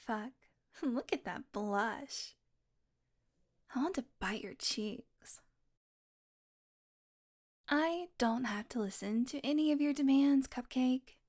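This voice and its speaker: A woman voicing a teasing, possessive vampire who dotes on her prey, speaking intimately and smugly to the listener in an audio roleplay. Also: on the high side. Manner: smug, flirtatious teasing with mock annoyance.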